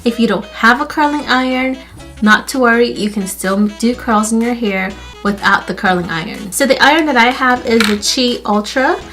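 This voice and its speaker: Chatty stuffy-nosed woman, higher voice. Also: normal speed, a bit bright, soft background music. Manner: chatty, friendly, repeats "literally" and "like". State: stuffy nose. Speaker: beauty YouTuber. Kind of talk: casual hair tutorial.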